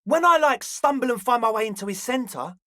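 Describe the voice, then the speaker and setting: A man's voice: extremely high pitch, lively intonation, brisk, fairly bright tone, dry recording, studio quality. A male actor playing an eager, earnest young raver in a video game, mixing slacker slang with grandiose, slightly pompous phrasing. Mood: explaining. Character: balding twenty-year-old raver dreamer, eager, earnest, slightly pompous. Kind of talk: video game character dialogue.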